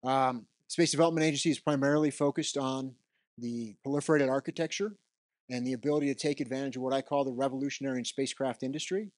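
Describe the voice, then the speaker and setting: A man's voice: mid-range voice, fast talker, no echo. Roaming middle-aged man at a handheld mic. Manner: conversational, roams the stage, frequent "right?" tags. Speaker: keynote speaker. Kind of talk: unscripted keynote talk. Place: conference hall with audience. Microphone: handheld microphone.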